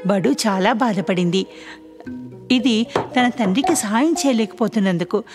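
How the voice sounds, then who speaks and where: A woman narrator telling a scripted children's story in a clear storytelling manner. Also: medium pitch, expressive, unhurried, music in the background.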